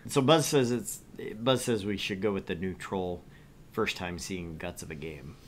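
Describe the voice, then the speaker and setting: A man's voice: deep voice, measured pace, a little hiss or hum. A man streaming a visual novel, reading its narration aloud and chatting casually about it. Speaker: streamer and reader.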